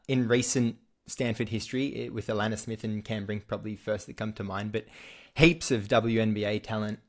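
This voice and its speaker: Chatty young Australian man hosting. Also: fairly low pitch. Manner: relaxed, chatty, with frequent fillers and restarts. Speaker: podcast host. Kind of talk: casual sports interview.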